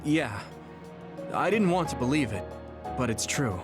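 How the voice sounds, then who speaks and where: An actor playing an earnest, trusting young soldier in an English game dub, speaking with soft sincerity. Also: male voice, medium pitch, very animated, measured pace, over music. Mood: earnest, unsettled.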